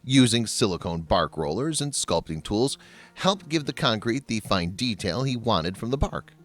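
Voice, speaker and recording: A man's voice: fairly low pitch, sing-song, normal speed. A man reading scripted voice-over narration in the manner of a how-to video. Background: background music.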